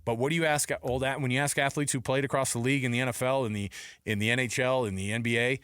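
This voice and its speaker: A middle-aged man delivers opinionated sports radio talk in a close, dry sound. His pitch sits in the middle, and he drives points home with repetition and rhetorical questions. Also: fairly even intonation, fast, fairly bright tone, clear, resonant tone, dry recording.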